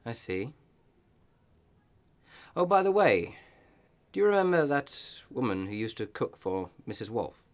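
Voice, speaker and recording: An actor in a radio play playing a loyal village reverend, with a measured, eloquent and wry manner. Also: male voice, mid-range voice, very animated. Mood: concerned, wry. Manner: measured, eloquent, gently sly.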